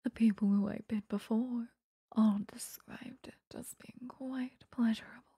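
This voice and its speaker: A woman voicing an obsessive, possessive female vampire in a breathy, coaxing ASMR whisper, close to the mic. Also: on the high side, steady tone, warm tone, no room sound, exaggerated voice. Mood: hungry, possessive. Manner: slow, coaxing, intimate. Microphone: close microphone.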